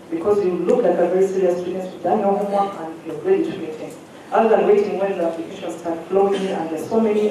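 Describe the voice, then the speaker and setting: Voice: mid-range voice, mellow. Adviser in an echoey hall. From Uganda. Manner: direct, instructive, checks on the audience. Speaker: education adviser. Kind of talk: advice presentation to students. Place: large hall at a careers fair. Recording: echoey hall, distant mic, faint hum.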